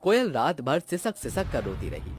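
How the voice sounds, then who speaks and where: Expressive male storyteller, swinging intonation. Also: fairly high pitch, moderate pace, faint music behind. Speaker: story narrator. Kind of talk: scripted dramatic storytelling narration for a cartoon moral tale.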